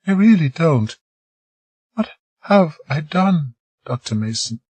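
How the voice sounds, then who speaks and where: A man reading an audiobook, voicing a frightened young woman in pain who falters and stammers, his voice raised but kept soft. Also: fairly high pitch, sing-song, takes it slowly, slightly rough, no room sound, voice pushed up, full character voice. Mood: faltering.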